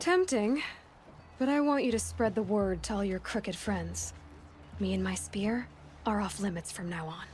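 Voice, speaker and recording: An actress playing a tough, self-assured young huntress in a video game, speaking in a dry, sardonic way. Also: female voice, medium pitch, normal speed, a little mellow, slight background noise, lightly acted. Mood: cool warning. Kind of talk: cinematic game dialogue.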